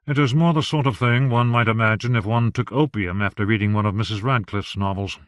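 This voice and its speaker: A man voicing a reserved gentleman husband who speaks in a measured, dry, subdued way. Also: lowish voice, no room sound, natural voice.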